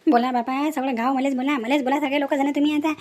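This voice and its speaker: A very high voice, chattering quickly, belongs to a put-upon cartoon mother acted by a woman. She complains and defends herself in rustic Varhadi Marathi, repeating her grievances in a self-pitying way. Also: fairly even intonation, a little mellow, close to an everyday voice. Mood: self-pitying, defensive. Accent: Varhadi Marathi from Vidarbha, Maharashtra. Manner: complaining, repetitive rustic wailing talk. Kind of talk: cartoon family comedy dialogue.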